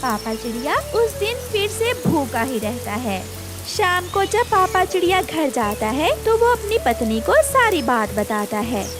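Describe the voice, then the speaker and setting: Female narrator for kids' cartoon tales: high voice, very lively intonation, music bed. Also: unhurried. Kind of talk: scripted children's moral story narration.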